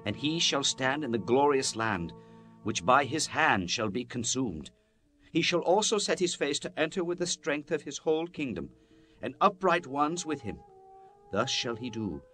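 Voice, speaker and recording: A man reads scripture in a solemn, declamatory way, as a dramatized Bible narration. His mid-pitched voice rises and falls widely, with measured delivery. Music plays faintly behind him. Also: a bit bright. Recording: music bed under the voice. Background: background music.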